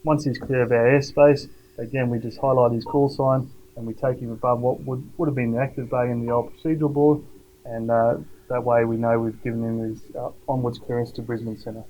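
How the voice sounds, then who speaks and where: A middle-aged man giving a matter-of-fact, informal technical demonstration, with hesitant pauses. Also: mid-range voice, flat delivery. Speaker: air traffic tower supervisor. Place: indoors, glass-walled control tower cab. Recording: dull sound, faint room noise.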